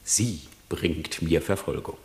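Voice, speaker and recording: An actor playing a sly, smooth-tongued fox in a radio play, declaiming in an unctuous, falsely humble way. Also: male voice, rumbling low, takes it slowly, heavily acted. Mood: flattering. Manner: unctuous, wheedling, theatrical declamation. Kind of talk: classic German radio play verse acting.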